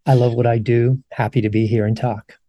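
A man's voice: mid-range voice, little pitch movement, brisk, dark tone, no echo, muffled recording. A man in his sixties from Morocco, a professor answering interview questions thoughtfully, with frequent ums and restarts. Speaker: professor, podcast guest. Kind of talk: long-form interview answers.

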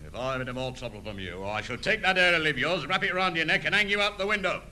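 An actor playing a blunt, threatening crane-operator husband with a working-class English accent, in broadcast sitcom style. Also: male voice, fairly high pitch, expressive, fast, bright, forceful tone, slight background noise. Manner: blunt, menacing.

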